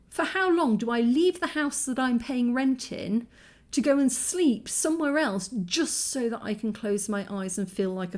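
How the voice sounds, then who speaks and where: A woman vlogging to camera, exhausted from lack of sleep, her voice croaky and strained as she rambles in frustration with many ums and restarts. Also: fairly high pitch. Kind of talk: candid talking-head vlog.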